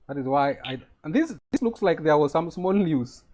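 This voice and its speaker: Man, Kenyan accent, trading teacher, slightly raised pitch, step-by-step explaining. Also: lively intonation, moderate pace, darkish tone. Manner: explains step by step, often checks if students follow. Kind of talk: live trading lesson on a call.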